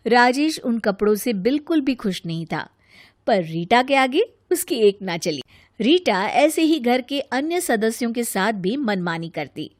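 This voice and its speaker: A woman's voice: a little high, big pitch swings, measured pace, no room sound, studio quality. A woman narrator reading a scripted story.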